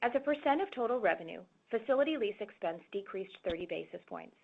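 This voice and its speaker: A woman speaks over a narrow, phone-like conference line, her voice markedly creaky at mid pitch. She reads prepared financial results as an executive, formal and measured throughout. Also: animated. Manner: formal, measured, businesslike reading. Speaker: corporate finance executive presenting on an earnings call. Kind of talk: scripted financial presentation. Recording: phone-like, narrow-band conference call audio.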